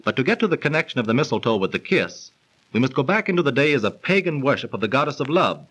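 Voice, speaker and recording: A man's voice: mid-range voice, big pitch swings, fast talker, a bit bright, slightly rough, low-quality recording. An actor playing a genial, learned young man who explains legends in polished, theatrical 1930s radio style. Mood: storytelling. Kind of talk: 1930s radio acting and storytelling. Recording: old 1930s radio transcription recording.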